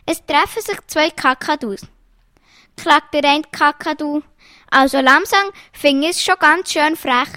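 A woman reading jokes aloud in a lively storytelling way, changing her voice for the quoted lines. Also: high voice, a little slow, no room sound, clean studio sound.

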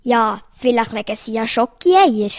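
A woman reading jokes aloud in a lively storytelling way, changing her voice for the quoted lines. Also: on the high side, measured pace, no echo, studio quality.

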